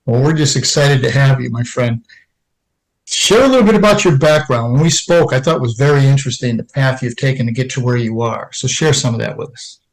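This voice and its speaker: A man's voice: mid-range voice, brisk, poor audio. A man hosting a podcast, reading an introduction and asking questions in an upbeat, encouraging way. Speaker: podcast host. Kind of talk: scripted introduction and interview.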